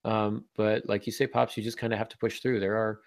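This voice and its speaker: A man's voice: lowish voice, flat delivery, brisk, no room sound, clean studio sound. A man hosting a casual podcast discussion as a writer, talking in a wry, joking way. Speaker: podcast host and author.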